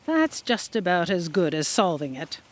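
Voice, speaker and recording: Woman as an imperious, animated lady boss. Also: on the low side, normal speed. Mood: brisk authority. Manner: bossy, curt, dismissive. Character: imperious, impatient female editorial boss of destiny bureau. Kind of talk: comic sci-fi audio drama acting.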